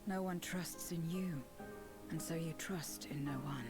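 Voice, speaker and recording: A grave fantasy-game warrior who trusts no one. Her voice is low and hushed, each terse phrase set down deliberately over clear background music. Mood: somber, bitter. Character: grave, distrustful woman warrior from a bleak world. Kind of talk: video game voice acting.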